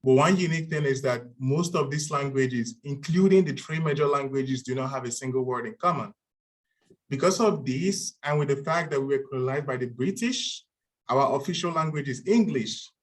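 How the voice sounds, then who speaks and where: A man's voice: mid-range voice, moderate pace. A man in his twenties from Nigeria, speaking English with a Nigerian accent as a second language, giving a structured, prepared talk as a panel speaker. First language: Igbo. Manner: structured, steady, uses signpost words.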